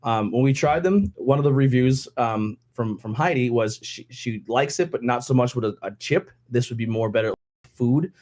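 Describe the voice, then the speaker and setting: A hoarse young man hosting a casual podcast from a home kitchen, rambling with lots of fillers. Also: medium pitch, moderate pace, a little mellow. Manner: rambling, loose, many fillers. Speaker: podcast host. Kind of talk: casual chat. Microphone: headset and desk mic.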